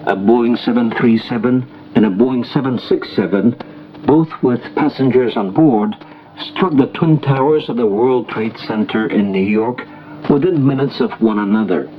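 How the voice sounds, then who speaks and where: A male newsreader delivers a formal bulletin in deliberate, separated phrases. His mid-pitched voice comes through a dull shortwave broadcast with faint static behind it. The delivery is scripted and measured throughout. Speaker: radio newsreader. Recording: noisy, muffled shortwave radio broadcast recording. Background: shortwave static and noise.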